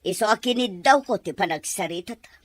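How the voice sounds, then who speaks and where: A woman's voice: mid-range voice, lively intonation, brisk, no echo, lightly acted. A Filipino woman playing a bossy, disapproving mother and lady of the house in an Ilocano radio drama, commanding and emphatic. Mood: stern, insistent.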